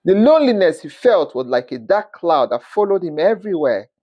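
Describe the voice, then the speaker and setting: A storyteller narrating a scripted folktale in an expressive, dramatic manner, with the occasional stumble over words. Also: mid-range voice, a little fast, lo-fi sound.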